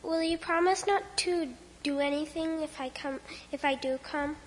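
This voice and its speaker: A woman's voice: sits high, moderate pace, long reverb tail, slight background noise, pitched above the performer's own voice, close to an everyday voice. A woman voicing a frightened, thirsty young schoolgirl who speaks timidly and hesitantly.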